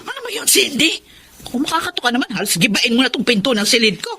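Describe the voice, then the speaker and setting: Behind clear noise, a brazen pregnant mother in a radio drama. Her high voice swings widely in pitch, brusque and defiant as she complains. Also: very animated, fast talker, bright, forceful tone. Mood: defiant, irritated. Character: brazen, irritable pregnant mother who sells her babies. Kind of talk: Tagalog radio drama acting.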